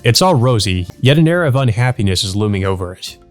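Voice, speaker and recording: A man's voice: on the low side, lively intonation, fast talker. A man narrating a visual novel playthrough, reading the text aloud and adding casual commentary. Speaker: let's play narrator. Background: background music.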